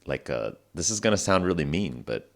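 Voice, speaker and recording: A man in his twenties hosting a casual podcast, talking through stories in a relaxed, rambling way, full of fillers and restarts, his voice sounding lower than usual in the morning. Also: a little fast. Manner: relaxed, rambling, many fillers and self-corrections. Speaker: podcast host. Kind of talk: casual storytelling monologue for English learners.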